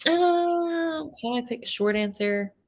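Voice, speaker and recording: A young woman, a guest athlete, chatting casually on a podcast, relaxed and laughing often. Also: high voice, slow pace.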